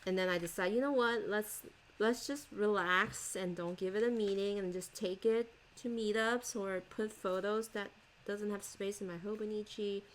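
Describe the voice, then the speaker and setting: A woman's voice: mid-range voice, steady tone, moderate pace, a little hiss or hum, low-quality recording. A woman whose first language is Chinese, chatting casually and rambling as she shows her planners, laughing often. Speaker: stationery vlogger. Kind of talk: casual chat showing planners.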